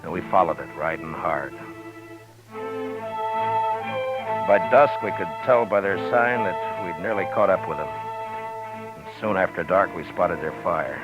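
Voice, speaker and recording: Guy playing a steady lawman, super deep voice, calm and in charge, music under it. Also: normal speed, lo-fi sound, natural voice. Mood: narrating. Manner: calm, authoritative. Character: steady, commanding male frontier marshal. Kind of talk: 1950s radio drama acting and narration. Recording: old radio broadcast with music bed.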